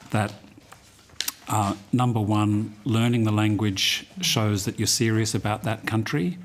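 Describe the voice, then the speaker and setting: A man testifying to a committee, thoughtful, repeating words as he starts his points. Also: on the low side. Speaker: witness. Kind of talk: formal testimony.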